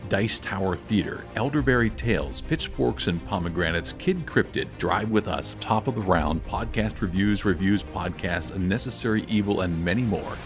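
A man's voice: low voice, expressive, moderate pace, hardly any pauses, music in the background. A man reading scripted promo narration as a trailer announcer.

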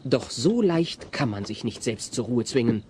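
Man as a wry dramatic storyteller, mid-pitched. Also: expressive, moderate pace, darkish tone, lightly acted. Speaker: narrator. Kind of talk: scripted comic story narration. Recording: faint hiss, old cassette audio.

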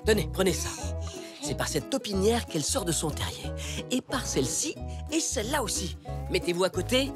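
An energetic zebra father in a children's cartoon. His voice rises and falls in a lively, expressive way as he eagerly directs, over background music. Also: sits high, moderate pace. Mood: conspiratorial, instructive. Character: eager zebra dad, gardener hunting moles. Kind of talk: children's animated cartoon acting.

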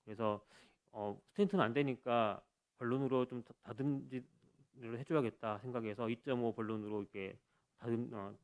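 A man's voice: mid-range voice, steady tone, moderate pace. A man, a cardiologist, giving a case presentation at a conference podium microphone, talking hesitantly with many fillers and restarts. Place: conference hall stage.

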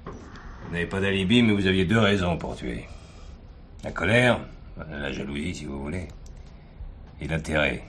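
An actor playing a blunt, sardonic, hard-nosed police commissioner who bullies a suspect in a French radio crime drama. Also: male voice, sits low, expressive, moderate pace, pauses often, a bit bright. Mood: hard, accusing. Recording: noisy old radio drama recording.

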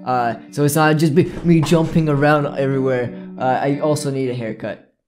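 A man's voice: medium pitch, steady tone, moderate pace, darkish tone, faint music behind. A young man chatting casually to his viewers in a rambling way, often repeating himself. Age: young adult. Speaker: video creator, gaming YouTuber. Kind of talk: casual chat to camera. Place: small indoor room with plain backdrop.

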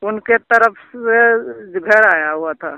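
A man with a Bihari accent answering a phone interview in a halting, deferential way. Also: sits high, creaky, pushed and bright, clean tone, no echo. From Bihar, India. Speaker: migrant labourer, interviewee. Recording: muffled lo-fi phone line.

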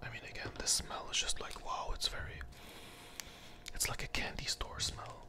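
A person whispering an ASMR candy review close to the microphone, hesitant and often repeating phrases. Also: moderate pace.

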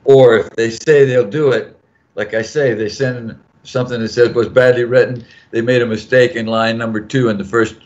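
A man's voice: mid-range voice, fairly even intonation, moderate pace, darkish tone. An older man, a journal editor, talking casually on a video call panel, rambling and correcting himself as he goes. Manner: rambling, self-correcting, joking. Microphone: video call mic. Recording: dull lo-fi video call audio.

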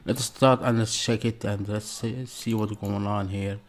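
A man hosts a reaction video from a small room, speaking close to his webcam in a low voice. He talks slowly and casually, chatting straight to his viewers. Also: steady tone. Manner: chatty, asks for subscribes.

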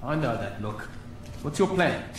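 Supporting male lead, protective and steady, game audio log. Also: mid-range voice, measured pace, reverberant, very noisy. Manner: protective, reassuring.

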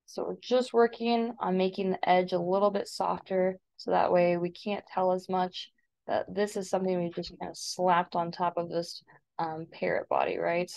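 A woman's voice: on the low side, normal speed, gravelly, darkish tone. Woman giving an informal step-by-step tutorial. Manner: informal, instructional. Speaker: instructor. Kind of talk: step-by-step software tutorial narration. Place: screen-recording voice-over.

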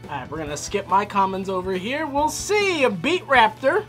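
A woman's voice: mid-range voice, lively intonation, normal speed, a bit bright. A woman co-hosting a casual card-opening video, chatty and teasing, laughing often. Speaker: co-host. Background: music.

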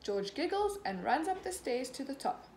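A young woman in her twenties, a teacher, reading a picture book aloud to children slowly and clearly, acting out the characters. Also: high-pitched, very animated, a little mellow. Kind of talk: children's storybook read-aloud. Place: small room at home, indoors. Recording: echoey room, faint background hiss.

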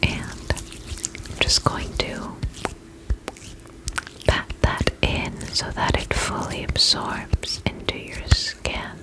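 A woman whispers breathily in an ASMR roleplay, playing a spa attendant. Her pace is extremely slow and drawn out. She soothes the listener with short, repeated reassurances. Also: poor audio.

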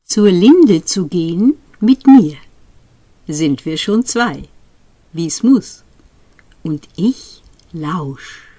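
Slow, mellow woman reading poetry. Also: fairly low pitch, expressive, no room sound. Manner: measured, deliberate phrasing with pauses. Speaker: poetry reader. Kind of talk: expressive literary poetry reading.

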